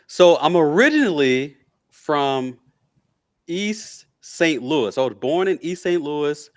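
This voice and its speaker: A man's voice: on the high side, sing-song, slow. A middle-aged American man talking casually as a podcast guest, answering in an interview. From Memphis, Tennessee, USA.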